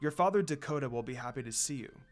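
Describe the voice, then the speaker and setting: A man voicing a calm, kind young woman ally in a story reading. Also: mid-range voice, quick pace, soft background music, close to an everyday voice.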